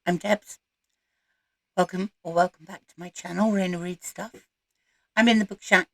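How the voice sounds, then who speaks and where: A woman with a croaky voice, recovering from voice loss, chatting casually to camera as the host of a book channel. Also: lowish voice, fairly even intonation, moderate pace, fairly bright tone, no room sound, studio quality. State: croaky, recovering from losing her voice. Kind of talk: casual chat to camera about reading plans.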